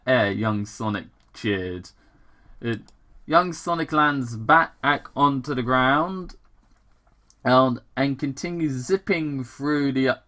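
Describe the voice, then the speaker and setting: Animated, bright-voiced fan fiction reader. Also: mid-range voice. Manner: halting, self-deprecating, excitable. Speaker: host reading fan fiction aloud. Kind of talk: casual dramatic reading with rambling commentary.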